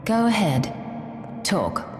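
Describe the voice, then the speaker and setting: A woman's voice: medium pitch, huge pitch swings, slow pace. A woman playing a stern judge in theatrical game dialogue, with a curt, official manner. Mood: cold, official. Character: stern, clipped female interrogating judge. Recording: echoey hall, faint music.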